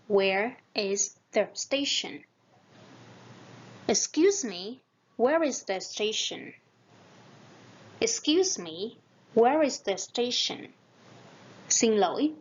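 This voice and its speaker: A woman reading short English practice phrases slowly and repeating them, each followed by a Vietnamese translation. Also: fairly high pitch, slightly rough, muffled recording. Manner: very slow, carefully spaced phrases.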